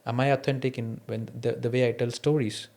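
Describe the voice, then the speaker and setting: A man's voice: medium pitch, flat delivery, fairly quick, a little mellow. A man with an Indian accent, a non-native English speaker, talking candidly and reflectively about his own experiences as a podcast host. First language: an Indian mother tongue, not English. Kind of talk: candid conversation, personal anecdotes.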